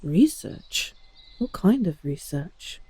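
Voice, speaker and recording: A woman's voice: lowish voice, sing-song, slow, dark tone, breathy edge, no room sound, full character voice. A woman playing a bitter, outspoken heretic in a fantasy audio drama, terse and sardonic. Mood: suspicious.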